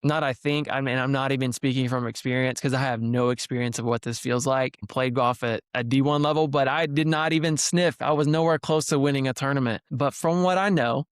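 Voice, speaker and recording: A man's voice: mid-range voice, steady tone, brisk, few pauses, clear, resonant tone, no echo, clean studio sound. A young man hosting a podcast interview in an effusive, enthusiastic way, hyping up his guest. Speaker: podcast host interviewing athletes.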